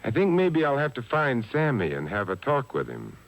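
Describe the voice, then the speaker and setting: A man playing an unflappable gentleman detective in a 1950s radio drama, urbane and dryly witty. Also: mid-range voice, huge pitch swings, normal speed, poor audio. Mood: suave, amused. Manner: urbane, dry, drawling wit.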